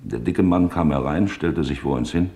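An actor playing a male office clerk, soberly and deliberately recounting wartime memories in a radio drama. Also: deep voice, warm tone, close to an everyday voice. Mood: sober, reflective. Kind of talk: German radio drama witness monologue.

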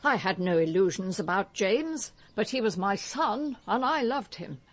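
An actress playing a dignified, weary older English gentlewoman, genteel and restrained but defensive, in a radio drama. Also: medium pitch, lively intonation, a little slow. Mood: pained, defensive.

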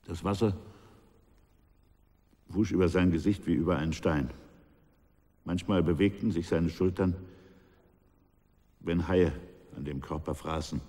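An actor playing a somber sea captain on trial in a German radio drama, recounting events in stark, measured phrases. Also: male voice, low voice, fairly even intonation, dark tone. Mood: bleak, haunted. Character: grave male sea captain on trial, recounting his shipwreck. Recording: long reverb, faint music behind.

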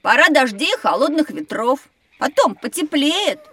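A woman voicing a curious, excitable young child in a children's educational audio drama, eagerly questioning and exclaiming. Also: sits high, moderate pace, no echo, heavily acted.

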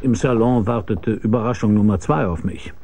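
A man's voice: lowish voice, normal speed, warm tone, a little hiss or hum, natural voice. An actor playing a hard-boiled, laconic private detective who narrates his own case in a German radio crime drama. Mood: brooding, wry. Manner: laconic, dry, probing.

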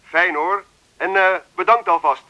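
An actor playing a brisk, polite client speaking through a telephone filter in a radio play. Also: male voice, high voice. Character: businesslike male client on the telephone. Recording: dull, noisy telephone line with clicks.

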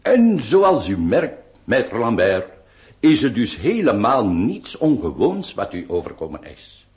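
Pedantic doctor with highly swooping intonation. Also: male voice, wildly swooping pitch, a little mellow. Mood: lecturing. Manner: formal, deliberate lecturing. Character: pedantic, self-assured male doctor explaining theories. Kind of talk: theatrical radio play acting.

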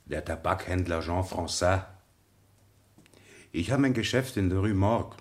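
An actor playing a deliberate French tobacconist who gives formal, pondering testimony in a German radio play. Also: male voice, sits low, expressive. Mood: deliberate, recollecting.

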